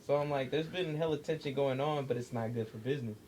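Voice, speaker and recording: A man talking at an ordinary pitch in a relaxed group chat. He rambles in slang, full of fillers and profanity, and there's faint room noise around him. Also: fast talker, poor audio. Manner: loose, slangy storytelling with many fillers.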